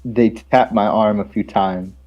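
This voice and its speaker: A man's voice: fairly low pitch, fairly even intonation, measured pace, highs cut off, soft background music. A man reading visual novel narration aloud among friends in a playful, jokey way.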